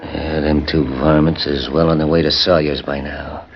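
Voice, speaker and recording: An actor playing a cruel Western outlaw in an old radio drama, gloating in rustic frontier slang. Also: male voice, very deep voice, little pitch movement, moderate pace, gravelly. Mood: scheming, gruff. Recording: muffled, faintly noisy old radio recording.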